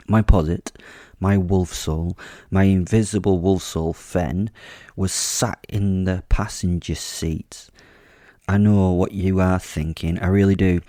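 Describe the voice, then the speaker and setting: A man's voice: deep voice, warm tone, no room sound, studio quality. A man hosting his podcast and telling a first-person horror story in an offhand, chatty way, swearing casually and joking darkly. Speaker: podcast host and narrator.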